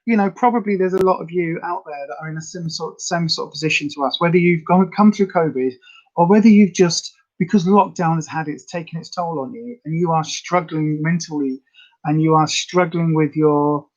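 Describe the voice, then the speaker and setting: Lady hosting a live catch-up, bit raspy, loads of ums, kinda muffled lo-fi sound. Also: sits low, brisk, darkish tone. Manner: chatty, rambling, many fillers. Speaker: host of a health channel. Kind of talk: casual live chat to viewers.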